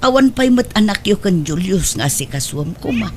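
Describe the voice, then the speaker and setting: A woman's voice: mid-range voice, lively intonation, moderate pace, a little mellow, slight background noise, close to an everyday voice. A woman acting an exasperated but fond older mother in an audio drama, with an Ilocano Filipino accent. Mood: scolding.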